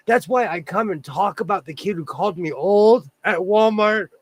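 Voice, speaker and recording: Male host, somewhat high voice, rambling self-deprecating chatter. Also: moderate pace, no echo. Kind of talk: casual chat.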